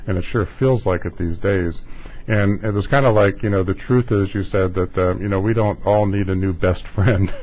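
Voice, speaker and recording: A man's voice: sits low, fairly even intonation, normal speed, a little mellow, low-quality recording. A man hosting a conversational interview, talking in long, wandering questions. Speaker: interview show host.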